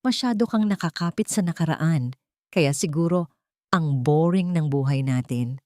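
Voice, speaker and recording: A woman narrator plays a cold, arrogant husband in an audio story. The voice is low and dry, with a Filipino accent and a dismissive, commanding manner. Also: moderate pace, dark tone, no room sound, natural voice. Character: arrogant, cheating husband, cold and domineering man.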